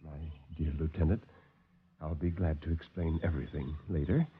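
A man playing a calm, coldly polite doctor villain in a 1940s radio drama. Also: rumbling low, husky, pitched below the performer's own voice, exaggerated voice. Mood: suave menace. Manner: courteous, unhurried, coldly polite. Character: suave, calm, menacing male doctor villain. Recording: dull old radio broadcast.

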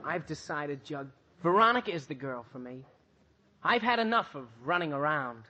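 A man's voice: fairly high pitch, lively intonation, normal speed, pushed and bright, full character voice. An actor playing an eager, lovestruck and melodramatic teenage boy in an old-time radio comedy. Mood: lovestruck, solemn. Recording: dull vintage radio recording.

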